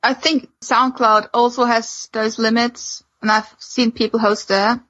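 Bright, higher-pitched woman chatting casually. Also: little pitch movement, measured pace, dry recording, muffled recording. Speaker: podcast panelist. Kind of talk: casual chat.

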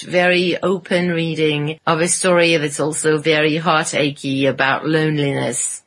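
A woman talks casually as a podcast panelist, sounding enthusiastic about what she describes. Her voice sits quite low and has a slightly husky edge. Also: a bit bright. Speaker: podcast panelist and podfic narrator. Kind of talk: casual chat about fan fiction.

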